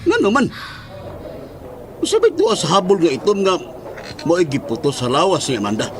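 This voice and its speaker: Exasperated Cebuano man, high, swooping voice. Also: huge pitch swings, moderate pace. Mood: exasperated, baffled. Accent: Filipino, Cebuano. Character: Dominic, exasperated, questioning man in horror drama. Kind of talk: horror radio drama acting. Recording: loud music bed with vehicle sounds.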